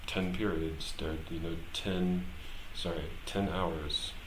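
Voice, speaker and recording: A man's voice: sits low, fairly even intonation, slow. A man talking casually and unscripted on a live stream, hesitant and rambling as he thinks aloud. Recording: echoey room, clear background noise.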